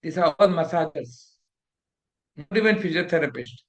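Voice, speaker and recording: Indian English-accented man, middle-aged, fairly high voice, preachy teacher scolding his students. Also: expressive, normal speed. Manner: scolding, preachy, switches between English and Hindi. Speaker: teacher of a fellowship class. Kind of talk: lecture.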